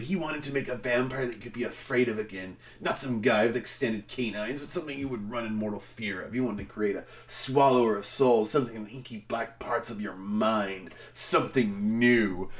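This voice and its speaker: A man speaks with theatrical flair, as a streamer performing for effect. His pitch swoops widely, and he leans hard on words with emphatic pauses. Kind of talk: dramatic reading of a film review.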